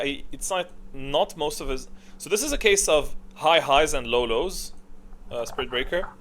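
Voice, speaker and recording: A man with a mid-pitched voice gives casual, opinionated gaming commentary. He muses out loud and questions his own calls as he goes. There is some noise behind the voice. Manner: musing, thinking aloud, self-questioning. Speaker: gaming video creator.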